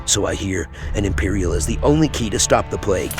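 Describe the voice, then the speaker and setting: A man playing a cold, haughty, distrustful elven supremacist mage in a fantasy game roleplay, speaking deliberately. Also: fairly low pitch, expressive, close to an everyday voice. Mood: cold, vengeful. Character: cold, vengeful elven supremacist mage who hates the Empire. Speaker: roleplaying player character. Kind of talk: scripted fantasy roleplay narration and dialogue. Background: background music.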